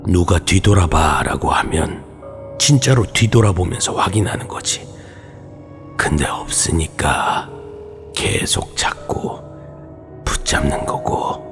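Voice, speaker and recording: A man doing a gruff old neighbor. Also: sits low, lively intonation, slow pace, pauses often, over music, voice pushed down. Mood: grave.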